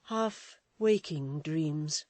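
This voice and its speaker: A reader voicing a troubled mother who speaks slowly and haltingly, tender but guarded. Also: mid-range voice, darkish tone, no room sound, close to an everyday voice. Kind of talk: audiobook reading.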